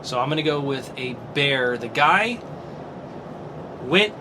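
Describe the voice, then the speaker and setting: A man's voice: medium pitch, animated, slow, background noise. A man hosting a casual podcast, thinking aloud and swearing casually as he reasons. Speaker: podcast host.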